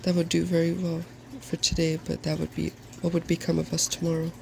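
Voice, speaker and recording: A man voicing a cheerful, wise, weary dragon in a soft-spoken storybook reading, polite and measured. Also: a little high, monotone, creaky, a little hiss or hum. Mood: cautious.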